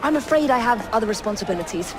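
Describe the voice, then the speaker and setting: An actress playing a courteous, composed young Victorian Englishwoman in a video game, measured and earnest, with an English accent. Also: fairly high pitch, expressive, a crowd in the background, noisy background, lightly acted. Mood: polite, composed. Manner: polite, measured. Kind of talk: video game cutscene acting.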